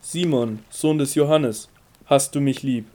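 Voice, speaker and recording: An actor playing a calm, authoritative Jesus in a Bible audio drama, speaking in a measured, solemn and tender manner. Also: male voice, medium pitch, a little hiss or hum, close to an everyday voice. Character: risen Jesus, calm authoritative male teacher.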